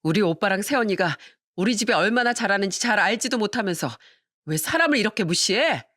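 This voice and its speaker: A woman's voice: mid-range voice, lively intonation, brisk, a bit bright, no echo, studio quality. A woman voicing a disapproving, exasperated sister of the husband, speaking reproachfully in a family story.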